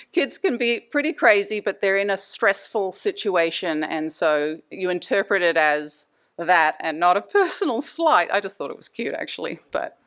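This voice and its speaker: A middle-aged woman from Australia speaks with an Australian accent at a middle pitch. Her voice is smooth and clear. She presents a lecture in a chatty way, laughing now and then between points. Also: fairly bright tone. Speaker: anesthesia department leader giving a talk. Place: conference room.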